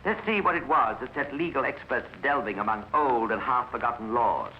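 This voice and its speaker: British man, crime narrator, medium pitch, formal, precise, 1950s radio style, dull recording, faint hiss. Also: fairly quick. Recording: muffled, noisy old radio recording.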